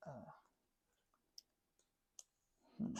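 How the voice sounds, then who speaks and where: A woman with a breathy, soft-edged voice rambling intimately and casually, halting and interrupting herself as she reflects. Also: lo-fi sound, soft background music. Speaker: craft vlogger and ASMR creator. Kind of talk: rambling, intimate casual chat while crafting.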